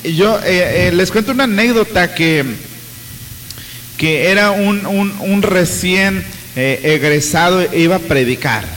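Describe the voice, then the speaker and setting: Joking radio host with reverb. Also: male voice, sits high, a little slow, slight background noise. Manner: joking, relaxed, with laughs and fillers. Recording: reverberant, faint music behind.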